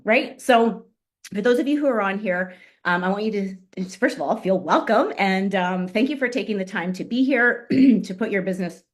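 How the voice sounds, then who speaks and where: A woman giving an upbeat motivational business training talk on a call, fighting a cough and often clearing her throat. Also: medium pitch. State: coughing, voice strained. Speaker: guest speaker on a business call.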